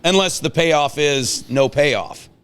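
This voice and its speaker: A man's voice: a little high, slow, fairly bright tone, clean tone. Man hosting an informal, teasing chat. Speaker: host interviewing high school seniors. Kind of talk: casual chat.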